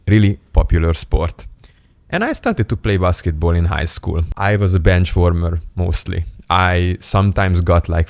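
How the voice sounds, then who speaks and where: A man's voice: sits low, a little mellow, dry recording, clean studio sound. A young man, from Hungary, telling a personal story to camera in a playful, self-mocking, candid way. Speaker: self-improvement YouTuber. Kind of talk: casual storytelling monologue to camera.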